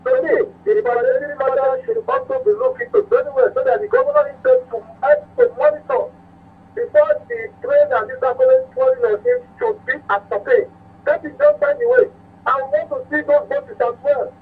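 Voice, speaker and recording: A man with a northern Nigerian accent calling a radio phone-in to give his opinion, often repeating words haltingly. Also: extremely high pitch, sing-song. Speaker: phone-in caller. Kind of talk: impassioned opinion on a radio call-in. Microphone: telephone. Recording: tinny narrow phone line.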